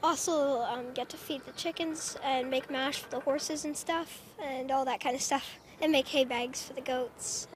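A young female student answering interview questions outdoors, hesitant with many pauses. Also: sits high, steady tone, slight background noise. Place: outdoors at a farm. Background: faint outdoor ambience.

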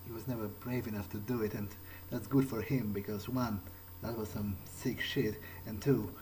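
A man thinking aloud in character as he plays a roleplaying game, musing haltingly to himself. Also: lowish voice, steady tone, darkish tone, a little hiss or hum, poor audio.

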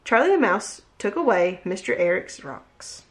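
A woman in her thirties reading a picture book aloud with gentle, expressive storytelling, at home on a webcam. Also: medium pitch, moderate pace. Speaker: read-aloud host. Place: home room, indoors. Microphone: webcam at desk distance.

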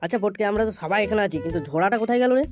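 A man's voice: sits high, very fast, over music, close to an everyday voice. Cartoon henchman, man performing: urgent, pleading, crude, races through lines. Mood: teasing, grumbling. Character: excitable, pleading male henchman and crony. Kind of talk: amateur comedy cartoon dubbing.

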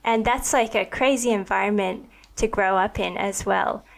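A young woman hosting a podcast interview, talking in an enthusiastic and thoughtful way with many likes, into a boom-arm mic in a home studio. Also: normal speed, gravelly. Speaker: podcast host. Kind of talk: conversational interview. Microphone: studio mic on a boom arm with headphones.

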